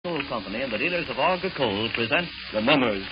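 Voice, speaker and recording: A man's voice: medium pitch, lively intonation, fast talker. A man working as a 1940s radio announcer, formally explaining a scripted sponsor message to his audience. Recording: dull, noisy 1940s radio recording.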